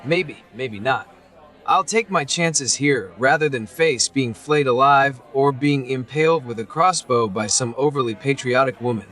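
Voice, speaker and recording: Man as easygoing young adventurer, medium-pitched. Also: measured pace, a bit bright, lightly acted. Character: easygoing young male adventurer, casual and hopeful. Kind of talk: fantasy visual novel acting.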